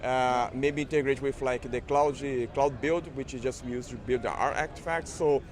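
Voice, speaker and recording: A man's voice: medium pitch, fairly even intonation, moderate pace. A man at a conference talking casually about the tools he uses, with many fillers and restarts. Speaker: conference attendee. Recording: busy crowd noise behind. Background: crowd chatter.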